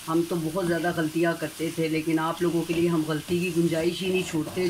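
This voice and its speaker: A woman's voice: lowish voice, a little hiss or hum, poor audio. A middle-aged woman hosting a home cooking tutorial, talking viewers through each step in a chatty, homely way, recorded in her kitchen. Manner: chatty, homely, addresses viewers warmly as family. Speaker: cooking channel host. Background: frying and stirring sounds.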